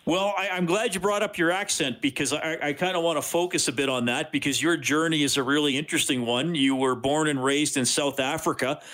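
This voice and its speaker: A man's voice: fairly high pitch, brisk, hardly any pauses, a bit bright. A man hosting a sports radio show, friendly and chatty with frequent restarts.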